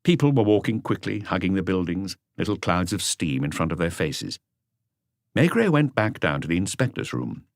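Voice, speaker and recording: A man reading a detective story as an audiobook narrator, in a measured, unhurried way. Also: sits low, big pitch swings, gravelly, dry recording, studio quality.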